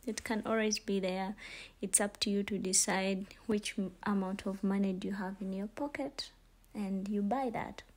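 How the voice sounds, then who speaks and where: A young woman with a Ugandan accent narrating a casual vlog in an informal, halting, repetitive way. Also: mid-range voice, fairly even intonation, a little mellow. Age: young adult. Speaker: vlogger, student. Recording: store ambience noise, handheld phone. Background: faint ambient noise.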